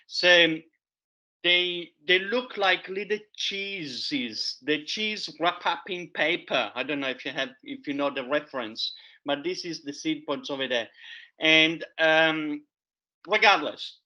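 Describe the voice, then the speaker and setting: A man's voice: a little high, takes it slowly, sharp tone. A man teaching about wild edible plants in a casual, enthusiastic show-and-tell way. He speaks in loose, chatty phrasing full of fillers and often repeats himself. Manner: enthusiastic, chatty, repeats "there you have it"; loose non-native phrasing with many fillers. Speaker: foraging educator. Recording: dull lo-fi recording.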